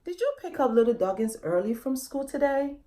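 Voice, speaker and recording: A woman's voice: high-pitched, big pitch swings, brisk, warm tone, voice pushed up. A woman voicing a worried father dog from a children's storybook, exclaiming and pleading as he searches for his pup. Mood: puzzled, concerned.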